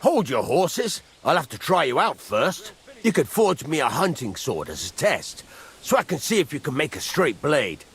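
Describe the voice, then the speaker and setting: An actor playing a gruff middle-aged village blacksmith in a video game, husky, blunt and rustic, giving plain instruction. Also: male voice, a little high, big pitch swings, normal speed, a bit bright, full character voice. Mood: gruff, challenging. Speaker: master blacksmith. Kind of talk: video game character acting.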